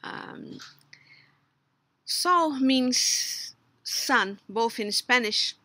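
A woman's voice: high-pitched, lively intonation, drawn-out words, sharp tone, no room sound. A middle-aged woman with a Brazilian Portuguese accent, a logician giving a recorded memorial tribute, partly read, hesitant, with many fillers and restarts. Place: outdoors under a patio umbrella in a garden.

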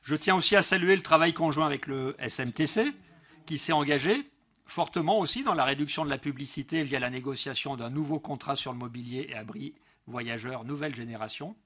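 A man's voice: on the high side, fairly bright tone. A middle-aged man delivering a prepared political speech at a council meeting in a formal, emphatic manner, stumbling now and then as he reads. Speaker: local elected councillor. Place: large council meeting room, indoors. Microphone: gooseneck desk microphone.

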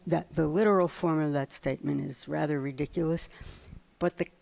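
A woman in the audience of a conference hall, speaking earnestly and haltingly into a handheld microphone. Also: low-pitched, fairly even intonation, vocal fry, a little mellow. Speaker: audience member asking a question. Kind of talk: audience question at a panel. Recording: dull audio in a large reverberant hall.

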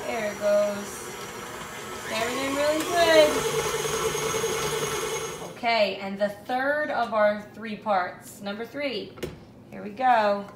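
A woman's voice: on the high side, slow pace, long pauses. A woman in her thirties, a mother casually guiding young children through steps with encouraging check-in questions. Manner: encouraging, step-by-step directing with frequent check-in questions. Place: home kitchen or dining room, indoors. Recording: echoey room with clear background noise.

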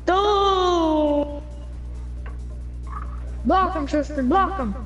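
A woman streaming a racing game with friends, shouting, laughing and teasing her teammates. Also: very high-pitched, extremely slow, dull audio. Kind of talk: excited gameplay chat with teammates. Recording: game music playing behind.

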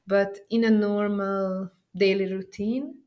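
A woman presenting as a software trainer, explaining a demo conversationally and repeating words as she searches for phrasing. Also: mid-range voice, flat delivery, takes it slowly.

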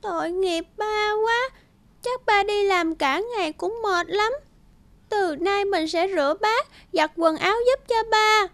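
A woman's voice: very high-pitched, measured pace, no room sound, heavily acted. A woman voicing a cheerful, affectionate young schoolgirl in a cartoon. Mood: concerned. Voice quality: bright, affectionate.

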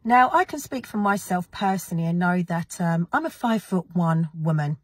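A woman, her voice gravelly after an illness, talking indignantly and emphatically straight to camera in a vlog. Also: fairly low pitch, animated, normal speed, dry recording, clean studio sound. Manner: indignant, emphatic, addressing critics directly. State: gravelly, recovering from illness, with a cough. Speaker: activist vlogger.

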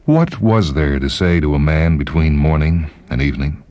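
A man's voice: very deep voice, animated, moderate pace, a little mellow, no echo. A man narrating a literary short story dramatically, with music behind him.

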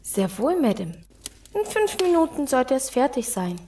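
Woman playing high-voiced, eager servant girl. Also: big pitch swings, moderate pace, mellow, distant mic, slight background noise. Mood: obedient. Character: dutiful, eager female servant of a madame. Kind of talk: horror audio drama acting.

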